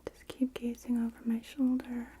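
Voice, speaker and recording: A woman whispering softly in an ASMR roleplay as an optometrist, giving gentle, unhurried step-by-step instructions close to the microphone. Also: fairly high pitch, completely flat delivery, slight background noise. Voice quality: soft, breathy whisper. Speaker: ASMR performer playing an optometrist. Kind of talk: ASMR roleplay of an eye exam. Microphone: close microphones on stands with reflection shields.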